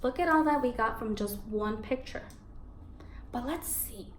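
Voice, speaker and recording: High-pitched woman teacher, encouraging, echoey room. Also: a little slow. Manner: encouraging, expressive, repeats and confirms children's answers. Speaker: elementary school teacher. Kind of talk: read-aloud lesson for young children, asking questions. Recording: echoey room with background noise.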